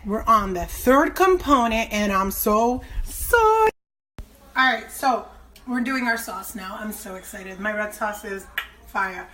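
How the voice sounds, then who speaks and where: A woman's voice: on the high side, pushed and bright. A woman hosting a cooking show, chatting and joking with viewers as she cooks in a kitchen. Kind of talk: casual cooking demonstration. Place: kitchen by the stove. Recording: frying sizzle noise in a kitchen.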